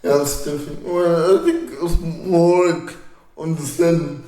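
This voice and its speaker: Irish man, casual chat, slow halting speech, dark mellow tone, echoey room. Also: a little high, fairly even intonation. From Ireland. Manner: halting, repeats words. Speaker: co-host. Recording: roomy echo.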